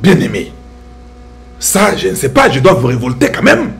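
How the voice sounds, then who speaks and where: A man's voice: on the high side, wildly swooping pitch, normal speed, vocal fry, fairly bright tone. A middle-aged man with a Congolese French accent giving impassioned, preachy social commentary to his viewers, full of rhetorical questions.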